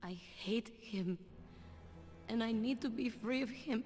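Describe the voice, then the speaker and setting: A woman's voice: on the high side, takes it slowly, a little mellow, in a large hall, soft background music. An actress playing a world-weary, wounded woman, grave and confiding in a game cutscene. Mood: bitter confession. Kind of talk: video game cutscene acting.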